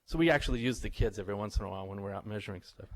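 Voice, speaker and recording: A man's voice: lowish voice, rapid-fire. A middle-aged man, a visiting professor, tells stories and quizzes a live audience in an informal lecture. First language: English. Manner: chatty, anecdotal, asks the audience questions. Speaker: visiting professor giving a talk.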